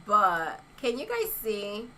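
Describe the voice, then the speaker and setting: A woman's voice: medium pitch, huge pitch swings, takes it slowly, sharp tone, slight background noise. A young woman chatting casually on a livestream as an influencer, reading viewer comments and laughing often between rhetorical asides. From Haitian heritage.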